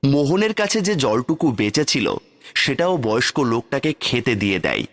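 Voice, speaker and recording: A man narrating a cartoon fairy tale in steady, plain scripted storytelling. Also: medium pitch, normal speed. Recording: faint background music. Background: faint music.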